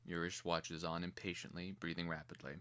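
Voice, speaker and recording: A man narrating a screenplay as a dramatic reading, in a measured, theatrical manner. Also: low voice, level tone, pushed and bright, slight background noise.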